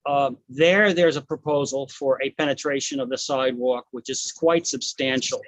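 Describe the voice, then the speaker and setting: A man giving public comment at a community meeting, halting, with fillers and restarts. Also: mid-range voice, a bit bright, no echo.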